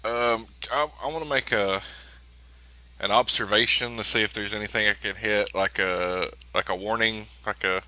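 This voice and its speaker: A man's voice: fairly low pitch, fairly even intonation, moderate pace, pushed and bright, dry recording. A man playing a tabletop role-playing game, casually calling his character's actions and speaking as him.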